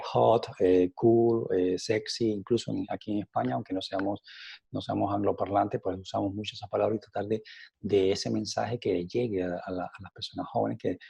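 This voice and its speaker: A middle-aged Cuban man, a scientist, answering interview questions in a halting way with many fillers and repeated words, his accent softened by years in Spain. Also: lowish voice, vocal fry, husky. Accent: Cuban Spanish, softened by years in Spain. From Cuba. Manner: animated, rising emphasis on key points.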